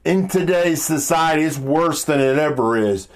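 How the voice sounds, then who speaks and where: A man with a deep, powerful voice giving an informal motivational talk in an emphatic, halting, blunt way, often repeating himself. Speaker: motivational speaker. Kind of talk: informal motivational monologue with rhetorical questions.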